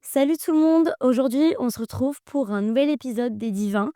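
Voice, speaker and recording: A young woman hosts a casual podcast chat and asks her guests questions. Her voice is smooth and mellow. The recording is dry and close. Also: high-pitched, moderate pace, dry recording. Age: young adult. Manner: friendly, asks questions, uses fillers. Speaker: host.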